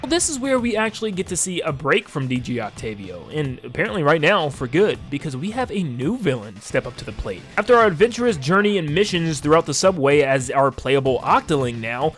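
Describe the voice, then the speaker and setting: A man's voice: fairly high pitch, sing-song, a little fast, hardly any pauses, fairly bright tone. A man giving casual, enthusiastic and emphatic video essay commentary as a conversational game reviewer. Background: music under the voice.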